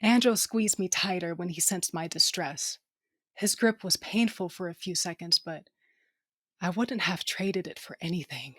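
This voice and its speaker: A tough female starship captain, with a slightly low voice in a dry, close recording. She is salty and commanding, yet protective and fond of her people, as she tells her own story in a space audio drama. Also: a little slow, a bit bright, no echo. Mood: reflective, intimate. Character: tough, wounded female ship captain, protective aunt, affectionate. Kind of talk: first-person audio drama narration.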